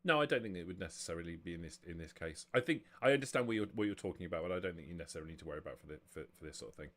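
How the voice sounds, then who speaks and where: Man, low voice, tabletop game master, halting explanatory delivery, frequent rephrasing. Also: lively intonation, a bit bright.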